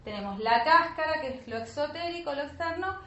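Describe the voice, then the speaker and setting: High-voiced woman lecturing in an echoey room. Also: lively intonation, fairly quick, a bit bright. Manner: didactic, frequent check-in questions. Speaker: teacher of an online spiritual alchemy course. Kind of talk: explanatory lecture to students. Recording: roomy echo, dull lo-fi sound, faint noise.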